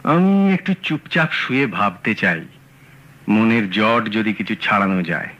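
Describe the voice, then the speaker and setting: An actor playing a calm, self-assured detective in an old radio play. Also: male voice, fairly low pitch, a little slow, dull audio, background noise. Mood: composed. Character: calm sharp male detective, cool and clipped. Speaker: Feluda. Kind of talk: 1970s radio drama acting.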